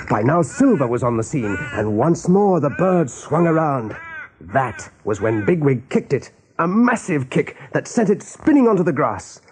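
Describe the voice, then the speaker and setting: A man narrating a radio play as a dramatic storyteller, with a mellow, husky voice. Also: fairly high pitch, slight background noise.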